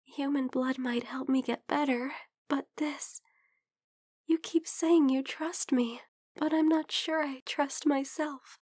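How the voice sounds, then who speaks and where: Woman acting soft, high-voiced, hesitant young vampire girl. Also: fairly even intonation, takes it slowly, no room sound, studio quality. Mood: nervous, tempted. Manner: hesitant, soft, breathy murmuring that slips into whispers. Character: shy, lovestruck young female vampire, caring and hungry. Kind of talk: intimate ASMR roleplay.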